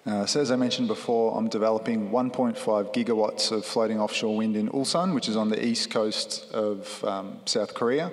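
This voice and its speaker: A man from Australia with an Australian accent, speaking on a conference panel in a relaxed, filler-heavy way. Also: fairly low pitch. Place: large conference hall stage. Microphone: handheld mic. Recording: reverberant hall.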